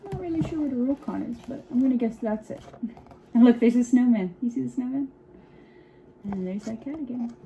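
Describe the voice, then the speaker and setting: A woman reading a picture book aloud to children in an expressive, encouraging way, with chatty asides and frequent check-in questions. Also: a little high, fairly quick, a little hiss or hum, low-quality recording. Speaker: children's storytime reader.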